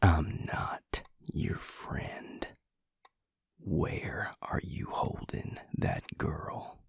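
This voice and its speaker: A man acting a grim, protective vampire in an audio drama, terse and angry in a gravelly, breathy near-whisper. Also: drawn-out words, dry recording, studio quality. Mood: cold anger. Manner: terse, growling, restrained anger.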